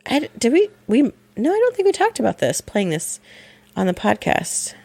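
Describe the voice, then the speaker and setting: A woman co-hosting a casual podcast, her voice gravelly and lower from a respiratory infection, chatty and often correcting herself. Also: huge pitch swings, normal speed, mellow, no room sound. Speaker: podcast co-host.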